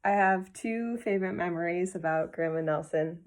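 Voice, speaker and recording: A woman's voice: on the low side, normal speed. A woman from Minnesota fondly telling family stories in a recorded tribute. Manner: warm, fond storytelling. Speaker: family member sharing memories.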